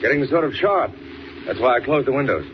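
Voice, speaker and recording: An actor playing a polite, earnest guard lieutenant in 1940s radio style, plainspoken and deferential, on a noisy recording. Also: male voice, mid-range voice, expressive, brisk, dull audio, lightly acted. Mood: earnest, apologetic.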